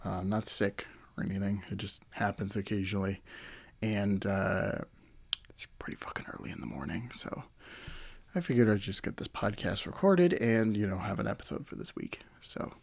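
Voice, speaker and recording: A man's voice: on the low side, expressive, moderate pace, creaky. A man hosting a solo podcast in casual, rambling, hesitant talk, his voice slightly nasal from a runny nose. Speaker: podcast host.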